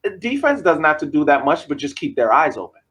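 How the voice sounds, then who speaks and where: A man's voice: fairly high pitch, very animated, brisk, a bit bright, breathy edge. A man co-hosting a casual sports podcast, arguing his point insistently and repeating phrases as he talks over the others. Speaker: podcast co-host.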